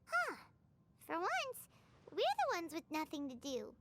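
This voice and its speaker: Video game sidekick: small excitable fairy girl, piercingly high, hugely animated, childlike and indignant. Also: unhurried, exaggerated voice. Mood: surprised, curious. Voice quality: squeaky, childlike. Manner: exclaiming, suspicious, refers to herself by name. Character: tiny excitable childlike fairy companion, female, speaks in third person. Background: music.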